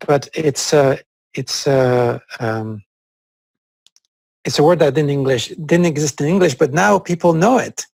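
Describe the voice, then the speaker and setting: Gravelly man who grew up in France. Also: a little high, a little slow, darkish tone, dry recording. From France (Corsica). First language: French. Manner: thoughtful, hesitant with many fillers. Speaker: co-host. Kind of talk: conversational discussion.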